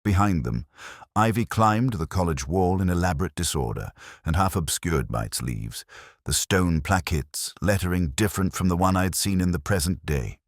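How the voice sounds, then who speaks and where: A man playing a world-weary police inspector, recounting a case in measured, literary, reflective detective narration. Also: sits low, lively intonation, no room sound, clean studio sound. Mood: somber, watchful. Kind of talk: scripted first-person detective story narration.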